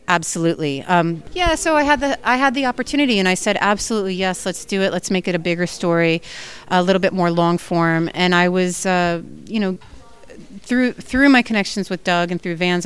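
A woman's voice: lowish voice, clear, resonant tone. A woman film director answering interview questions in an earnest, enthusiastic way, with frequent fillers.

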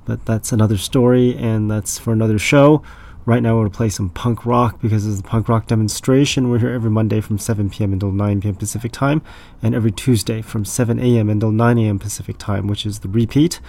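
Man, radio DJ, raspy and hoarse from illness, gravelly, dark low voice, rambling casual chat. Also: steady tone, quick pace. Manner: loose, rambling and self-deprecating. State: sick, hoarse. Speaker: radio DJ host.

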